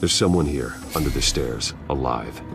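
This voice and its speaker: An actor playing a grim, protective masked vigilante detective in a video game, speaking tersely in a gravelly growl. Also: male voice, a bass voice. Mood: grim, focused. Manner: terse, deliberate. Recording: music behind the voice.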